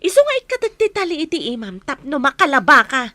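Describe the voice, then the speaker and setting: An actress playing a cruel, bossy captor with an Ilocano Filipino accent in a radio drama, sharp and commanding. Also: female voice, high voice, wildly swooping pitch, quick pace, dry recording, exaggerated voice. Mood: menacing, scornful. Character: cruel bossy woman guarding a bound captive maid.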